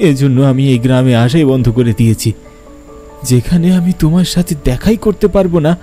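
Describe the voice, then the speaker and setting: A man playing an earnest, lovelorn young man, remorseful and yearning, in a dramatic animated horror story. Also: mid-range voice, natural voice.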